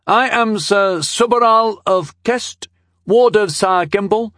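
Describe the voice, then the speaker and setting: Man doing a high-pitched, brash boy herald knight. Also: takes it slowly, fairly bright tone, no echo, studio quality. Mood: boastful proclaiming. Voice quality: cracking adolescent voice. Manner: declamatory, overbold. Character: boyish teenage herald knight, bold, brash, proclaiming.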